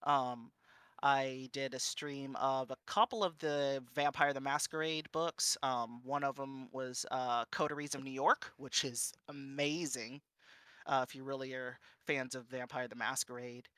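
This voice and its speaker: A man giving an informal panel talk and answering questions in a conversational, halting, self-correcting way. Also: mid-range voice, steady tone, sharp tone, clear, resonant tone, dry recording. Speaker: panel speaker and streamer.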